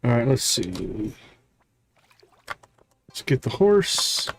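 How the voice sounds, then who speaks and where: A man's voice: medium pitch, big pitch swings, very slow. A man live streaming a video game, giving casual commentary as he thinks aloud and chats to viewers.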